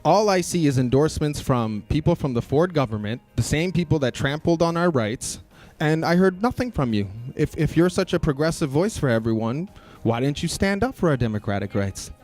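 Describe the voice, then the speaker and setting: A man's voice: on the high side, animated, brisk, darkish tone, faint music behind. A man giving closing remarks at a local candidate debate, talking in a pointed, challenging way. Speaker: election candidate.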